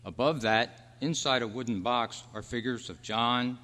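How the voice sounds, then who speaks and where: A man acting in a staged radio drama, with earnest, measured storytelling. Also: medium pitch, pushed and bright. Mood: wistful, earnest. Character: Roy, dead thirty-three-year-old man's wistful, peaceful spirit. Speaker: actor and announcer. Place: live club meeting in a hall. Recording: echoey hall with long reverb.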